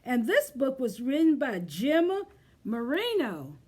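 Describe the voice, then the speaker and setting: Slow, high-voiced woman reading to children. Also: breathy edge. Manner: expressive, addressing young children directly. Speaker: children's librarian leading storytime. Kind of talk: children's storytime read-aloud and chat.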